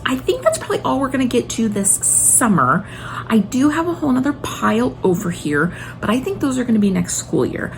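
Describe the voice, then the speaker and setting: A woman's voice: medium pitch, vocal fry, noisy background. A woman in her thirties talking casually and enthusiastically, like a homeschooling mom recommending favorites on her vlog. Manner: enthusiastic, gushing. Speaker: homeschooling video blogger and mother.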